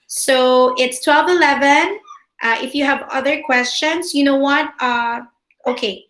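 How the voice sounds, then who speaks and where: Female school administrator, Filipino accent, native Tagalog. Unhurried, slightly high voice, polite and halting, fielding questions in a roomy online meeting. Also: a bit bright. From Philippines. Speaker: school administrator hosting a parent orientation. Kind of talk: informal question-and-answer presentation to parents.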